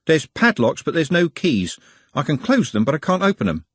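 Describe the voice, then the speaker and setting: A man plays an easygoing young man who shrugs things off, voiced a bit higher than the reader's own. His husky tone is dry and up close. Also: sing-song, normal speed, no echo. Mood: casual. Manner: casual, shrugging.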